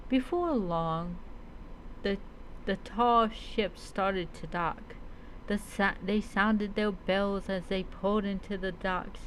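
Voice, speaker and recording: A woman's voice: mid-range voice, slow pace, a little hiss or hum, rough recording. A woman reading a first-person adventure story aloud as a role-play storyteller, stumbling and repeating words as she goes.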